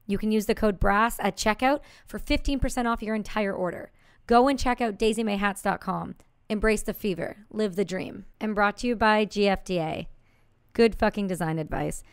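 A woman reading scripted sponsor ads with a brisk, punchy delivery. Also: mid-range voice, smooth, no room sound, studio quality. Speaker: ad reader.

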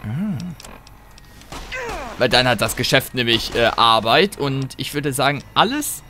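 A man giving casual let's play commentary, thinking aloud in a rambling, halting way and breaking off mid-thought. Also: medium pitch, lively intonation, pushed and bright, soft background music.

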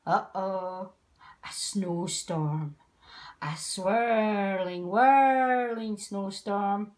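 A woman reading a children's picture book aloud in an expressive, theatrical way, drawing out words and chanting repeated phrases. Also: medium pitch. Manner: theatrical, drawn-out delivery with chanted repetition and acted sound effects. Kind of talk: expressive read-aloud story narration for young children.

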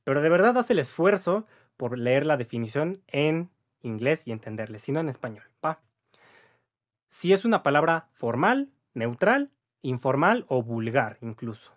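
A man's voice: medium pitch, big pitch swings, normal speed. A young man in his twenties with a Mexican accent, an English teacher giving a casual video lesson to camera, chatty and upbeat, often checking in with the viewer by tagging his sentences with little questions. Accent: Mexican Spanish. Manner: chatty, upbeat, frequent "¿va?" and "¿vale?" tag questions. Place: indoor room with a patterned wall.

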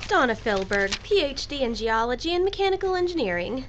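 A woman's voice: high-pitched, sing-song, brisk, highs cut off. An actress playing an earnest female scientist explaining technical matters in an audio drama. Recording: slightly noisy audio.

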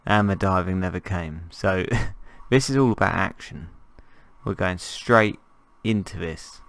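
A man with a croaky voice from a cold, chatting casually and jokingly as he plays and narrates a survival game. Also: low voice, measured pace, fairly bright tone, dry recording. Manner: rambling, jokey, thinking aloud. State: hoarse from a cold. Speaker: gameplay commentator. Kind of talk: casual gameplay commentary.

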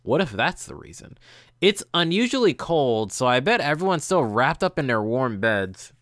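A man's voice: medium pitch, big pitch swings, moderate pace, fairly bright tone, no room sound, studio quality. A young man doing casual gameplay commentary, reading story text aloud and reacting informally. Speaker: let's player. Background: game music.

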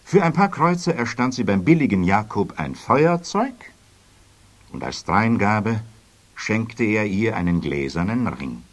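Husky, animated male storyteller. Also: fairly low pitch, measured pace. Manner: expressive storytelling. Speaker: narrator. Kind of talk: scripted children's story narration.

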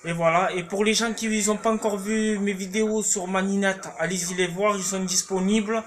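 A man's voice: high-pitched, steady tone, moderate pace, fairly bright tone. A man in his thirties with a Marseille accent, rambling casually to a phone camera in his small home kitchen, full of fillers and restarts. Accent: Marseille French. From Marseille, France. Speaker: cooking vlogger. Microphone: phone camera held at arm's length.

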